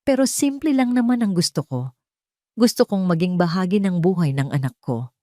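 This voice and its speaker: A calm, scheming businessman and former lover, played by a woman narrator in an audio drama. The voice is soft-edged and dry, with quiet threat beneath controlled, deliberate phrasing. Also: on the low side, dry recording, close to an everyday voice. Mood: calm menace.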